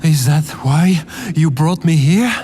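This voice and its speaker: A man's voice: on the high side, slow pace, dark tone. A man playing a naive, obedient spaceship operator in a sci-fi comedy audio drama, literal-minded, hesitant and earnest. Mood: put-upon.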